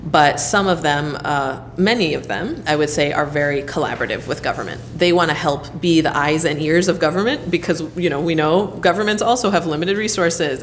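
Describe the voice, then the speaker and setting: Woman, from the US, very low pitch, interview guest, thoughtful, ums and you-knows. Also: slight background noise. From United States. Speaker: interviewee, leader at a civic nonprofit hub. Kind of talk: conversational interview answers.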